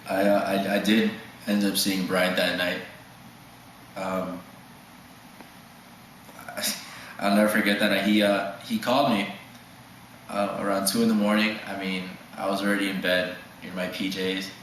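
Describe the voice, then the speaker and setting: An eighteen-year-old man giving a halting, stammering mock documentary testimony. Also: sits low, flat delivery, a bit bright. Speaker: student interviewee. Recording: echoey room with faint noise.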